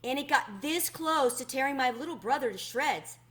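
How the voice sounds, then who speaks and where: A woman's voice: high-pitched, lively intonation, normal speed, fairly bright tone, voice pushed up. A woman voicing an earnest young girl in a storybook, insistent and easily indignant.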